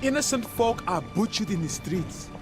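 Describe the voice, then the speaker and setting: A grim, embittered guard of a troubled town. His fairly high voice moves widely as he broods with dramatic earnestness. Fantasy game music sits behind him. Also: expressive, normal speed. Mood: grave, urgent. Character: grim, embittered male city guard, loyal to townsfolk. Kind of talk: fantasy video game voice acting.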